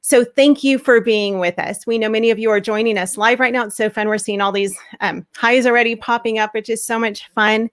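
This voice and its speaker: A woman hosting a live online event, upbeat and effusive, her voice slightly hoarse. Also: on the high side, steady tone, brisk, hardly any pauses, a bit bright. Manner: upbeat, effusive welcomes, laughs often. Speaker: host.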